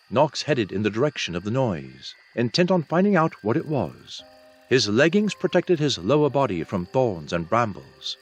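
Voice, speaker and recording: Animated male fantasy audiobook narrator. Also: medium pitch, slightly rough. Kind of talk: scripted fantasy novel narration.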